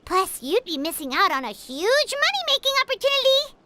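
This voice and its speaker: A woman's voice: extremely high pitch, very animated, fairly quick, very noisy. A woman voicing a tiny, chirpy female companion creature in a video game, who refers to herself in the third person. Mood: pleading. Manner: third-person self-talk, bubbly. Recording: game audio with loud music behind.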